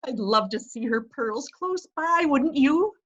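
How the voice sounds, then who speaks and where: A woman's voice: high voice, expressive, unhurried, a bit bright, muffled recording. A woman reading a chatty, gossipy society matron in a stage reading. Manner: gossipy and eager. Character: chatty society matron Mrs. Payne Dexter. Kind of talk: readers theatre reading.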